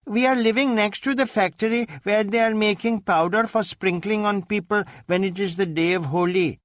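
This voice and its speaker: A man's voice: sits high, steady tone, normal speed, a bit bright, no echo, studio quality, pitched above the performer's own voice. A man voicing a cheerful, guileless twelve-year-old Indian boy in an audiobook story. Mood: innocent, matter-of-fact. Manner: simple, guileless. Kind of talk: audiobook character acting.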